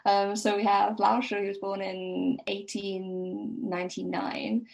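A woman's voice: mid-range voice, level tone, a little slow, creaky, a little mellow, poor audio. A woman speaking as a podcast guest, explaining things informally and tentatively, piecing facts together aloud.

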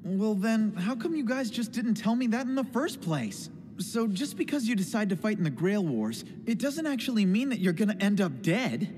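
A man's voice: high voice, very animated, moderate pace, darkish tone, big-room sound. Man voicing an earnest, blunt anime teenage boy. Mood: questioning. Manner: casual, blunt, questioning. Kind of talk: English anime dub acting.

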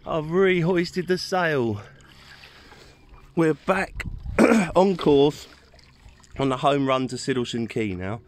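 A man's voice: on the high side, expressive, slow. A middle-aged man from England narrates in an easy, cheerful way, chuckling often. He is outdoors on a small sailboat, recorded on an action camera with open air around him and a faint hiss of wind and water behind. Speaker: vlogger sailing a dinghy. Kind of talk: casual running commentary. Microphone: action camera on the boat.

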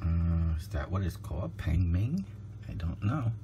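A man's voice: low voice, sing-song, measured pace. A man giving casual unboxing commentary as a product reviewer, tentative and thinking aloud. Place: indoors, at a kitchen counter. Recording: clearly noisy home recording.

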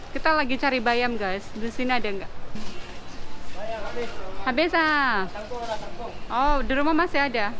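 A woman vlogging casually to her viewers while shopping in a supermarket and market, chatty and upbeat, filmed on a handheld camera. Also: high voice. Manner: chatty, upbeat, giggly, addresses viewers as guys. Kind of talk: casual shopping vlog chat. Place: supermarket and outdoor market stalls. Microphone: handheld wide-angle camera at arm's length. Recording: busy store noise behind. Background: shop and market bustle.

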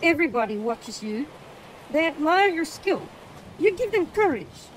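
A male narrator voicing an eager, earnest young boy in a high, light voice for an audiobook. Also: very animated, moderate pace, a little hiss or hum, rough recording. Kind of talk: audiobook character voice.

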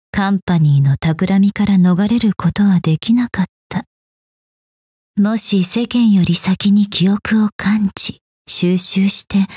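A woman playing a serene, elegant keeper of memories in a video game, speaking softly with a poised, mysterious manner. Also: mid-range voice, no echo, studio quality. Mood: serene, wistful. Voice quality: soft, breathy. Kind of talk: scripted game voice lines.

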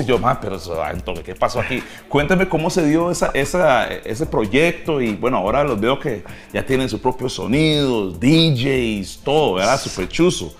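A man with a Costa Rican accent hosting a casual chat in a barbershop, joking and chiming in playfully. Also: medium pitch. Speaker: host. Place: barbershop, indoors.

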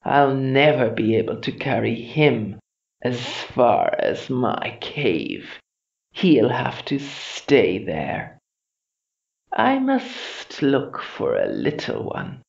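Storybook monster queen, put on by a narrator: vain, sly and scornful, very slow drawn-out delivery with a gravelly creak. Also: mid-range voice, wildly swooping pitch, reverberant, muffled recording, heavily acted. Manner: boastful, drawn-out, snorting and hissing. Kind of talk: children's storybook character voice.

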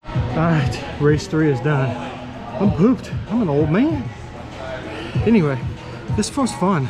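A man's voice: fairly high pitch, sing-song, slow, crowd noise. Fifties guy vlogging on a handheld cam, chill and chatty, music blasting in the back. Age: 50s. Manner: chatty, laid-back tour-guide talk. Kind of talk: casual vlog narration. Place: indoor go-kart venue and home garage. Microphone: action camera held at arm's length.

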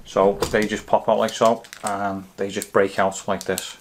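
A man's voice: fairly low pitch, level tone, a little slow, slight background noise. A man in his thirties or forties giving a casual, matter-of-fact gear review to camera. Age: 30s to 40s.

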